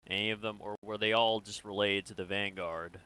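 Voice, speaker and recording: Man playing a bright-voiced, businesslike military interrogator. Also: fairly low pitch, steady tone, a little fast, clear, resonant tone, poor audio. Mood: probing, businesslike. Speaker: role-player in an online game. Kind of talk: improvised sci-fi role-play dialogue.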